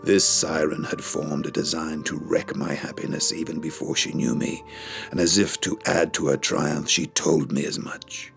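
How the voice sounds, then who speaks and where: An actor playing an ageing, rueful Casanova, recounting his love affairs in a measured, literary way as dramatised memoir narration. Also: rumbling low, flat delivery, vocal fry, fairly bright tone, soft background music, heavily acted. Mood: wistful, bitter.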